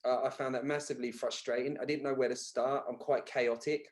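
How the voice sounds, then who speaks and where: A man's voice: mid-range voice, level tone, brisk. A man speaking as a podcast guest, telling candid personal stories in a colloquial, emphatic way. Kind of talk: candid conversational storytelling.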